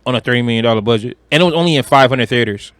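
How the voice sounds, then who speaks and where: A man's voice: medium pitch, racing, fairly bright tone, no echo. A young American man from Detroit co-hosting a podcast, talking movies casually in a slangy, profane, emphatic way. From Detroit, United States. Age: young adult. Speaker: podcast co-host.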